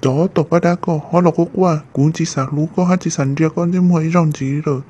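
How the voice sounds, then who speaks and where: A man voicing a male character in an animated family drama, in a dull lo-fi recording. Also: a little high, fairly quick, a little hiss or hum, close to an everyday voice. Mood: conversational. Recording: dull lo-fi sound. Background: music.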